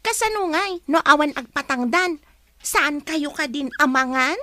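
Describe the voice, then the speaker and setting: A woman's voice: sits high, animated, unhurried, sharp tone, no room sound, exaggerated voice. A woman with an Ilocano accent playing a nosy, mock-pitying schoolgirl in an audio drama. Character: nosy schoolgirl with mock pity, taunting classmate. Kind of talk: Ilocano radio-style drama acting.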